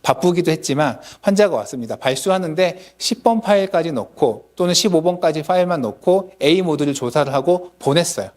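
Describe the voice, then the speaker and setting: A man's voice: on the high side, lively intonation, brisk, hardly any pauses, darkish tone. A man, a dentist, lecturing colleagues at a symposium in a conversational, explanatory manner.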